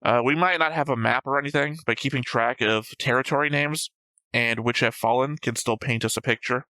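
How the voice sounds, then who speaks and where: A man's voice: mid-range voice, a little fast, bright, forceful tone, no echo. A man in a casual discussion, arguing his points and swearing now and then as he analyses a show. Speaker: host of an anime reaction show.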